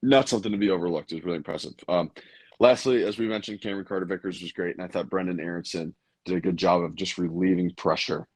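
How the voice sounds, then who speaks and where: A young man with a raspy, tired voice, hosting a casual sports chat and rambling with many fillers. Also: low-pitched, steady tone, fast talker, no echo. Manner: rambling, lots of fillers and restarts. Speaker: podcast host.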